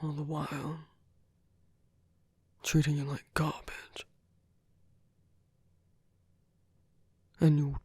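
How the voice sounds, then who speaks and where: A performer acts out a seductive villain in a romantic ASMR roleplay. The voice is breathy and very dry, with a slow, halting delivery. It coaxes tenderly and protectively, often sinking into a whisper. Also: mid-range voice, no room sound. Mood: bitter, persuasive. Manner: slow, halting, gently coaxing.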